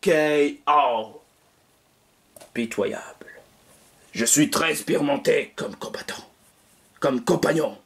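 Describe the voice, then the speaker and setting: A performer voicing a gruff, menacing, bad-tempered male pig villain in an amateur cartoon, foul-mouthed and threatening. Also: medium pitch, slow pace, pauses often. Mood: threatening, smug.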